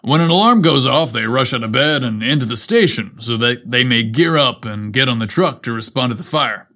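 A man's voice: medium pitch, lively intonation, fairly quick, pushed and bright. A man voicing a brave, modest fire captain in a story, explaining things in an earnest, plainspoken way. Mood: friendly.

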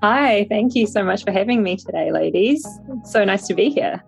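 A woman's voice: medium pitch, lively intonation, moderate pace, vocal fry, faint music behind. A young woman with a New Zealand accent, a podcast guest talking casually and thoughtfully with plenty of fillers and restarts. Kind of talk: casual interview conversation.

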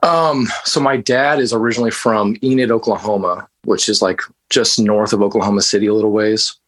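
A man's voice: on the low side, fairly even intonation, a little fast, fairly bright tone. A man from West Texas, a band musician, telling stories in a casual interview in a loose, laid-back way with plenty of fillers and some swearing. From West Texas, USA.

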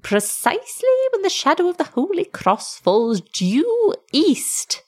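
A woman's voice: high voice, very animated, slow, darkish tone, pitched above the performer's own voice, heavily acted. A woman voicing a small, solemn male dwarf messenger in a story, speaking formally with archaic courtesy. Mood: courteous, urgent.

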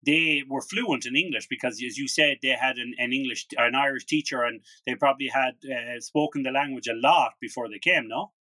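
A man's voice: mid-range voice, fairly quick, few pauses, pushed and bright, dry recording. A man hosting an interview, who often repeats and restarts words as he frames his questions. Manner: hesitant, repeats words while asking questions. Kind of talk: casual interview.

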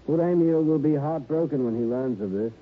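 An actor playing a fussy, genteel violin dealer in a radio drama, precise, refined and a little bewildered. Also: male voice, a little high, brisk, lightly acted. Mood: regretful. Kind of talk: 1950s-60s radio drama acting. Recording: tinny vintage radio broadcast.

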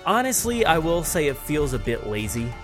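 A man hosting a podcast, chatting casually through news in a halting, think-aloud way. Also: a little high, big pitch swings, over music. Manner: halting, thinking aloud while describing what he sees. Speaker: podcast host. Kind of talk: casual unscripted news chat.

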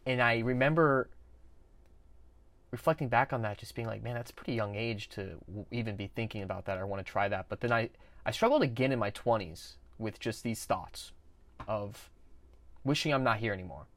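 A man hosting a podcast, talking candidly and quietly, with frequent stuttered repetitions. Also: fairly low pitch, fairly quick, no room sound. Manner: stutters with sound repetitions, soft and confessional. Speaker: podcast host.

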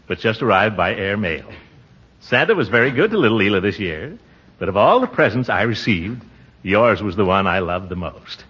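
Pompous, husky, blustery radio uncle. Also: male voice, on the low side, fairly bright tone, heavily acted. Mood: smitten. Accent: American. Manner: pompous, flustered, given to chuckling. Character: pompous, blustery middle-aged uncle and water commissioner. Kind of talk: 1940s radio comedy acting. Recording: dull 1940s radio recording.

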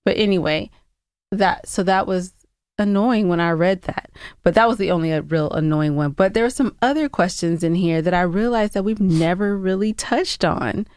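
A woman's voice: fairly low pitch, normal speed, a little mellow, clean tone, dry recording, studio quality. A woman co-hosting a podcast, reading listener questions and chatting casually in a teasing, playful way. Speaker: podcast co-host.

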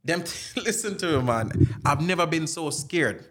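A man with a Jamaican accent in casual chat, telling anecdotes in an animated way with patois phrasing. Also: on the high side, a little slow.

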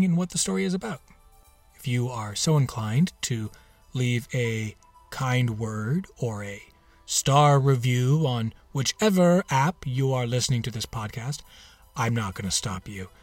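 A man's voice: medium pitch, dry recording. A man hosting a podcast, giving a casual scripted sign-off in a polite, understated manner. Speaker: podcast host. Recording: music behind a dry voice.

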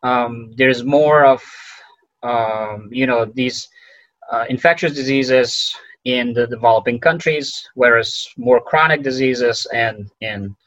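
A man whose first language is Farsi, speaking as a physician guest in an interview, halting and full of fillers and restarts. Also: medium pitch, fairly bright tone, no room sound, dull audio. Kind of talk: conversational interview.

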